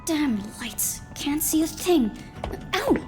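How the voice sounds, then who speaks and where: A woman's voice: high voice, lively intonation, slow, dark tone, faint music behind. A woman playing an uneasy diner keeper who grumbles to herself in an audio drama. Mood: irritated. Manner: muttering to herself.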